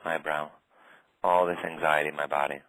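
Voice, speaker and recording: A man guiding a tapping session in a calm, steady way, naming tapping points and saying short phrases for the other person to repeat. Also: a bass voice, dry recording, low-quality recording. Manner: calm, slow, leads phrases for repetition. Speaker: tapping practitioner.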